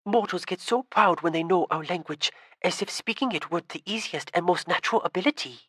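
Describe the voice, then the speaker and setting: A woman's voice: fairly low pitch, brisk, fairly bright tone, dry recording, studio quality. A woman voicing a haughty female water nymph who talks in a sneering, condescending way. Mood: mocking.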